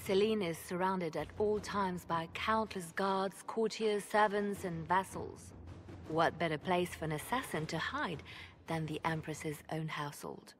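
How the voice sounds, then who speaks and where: A low female voice with faint noise around it an actress in character. She is a secretive spymaster in a fantasy game. She speaks in a measured, calculating way and stays composed. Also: lightly acted. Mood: grave, measured. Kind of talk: dramatic game cutscene dialogue.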